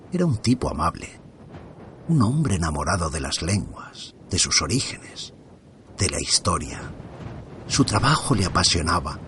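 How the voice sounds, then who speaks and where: A man narrating a first-person horror story for his podcast in a hushed, suspenseful way, often sinking into whispers. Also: deep voice, slight background noise.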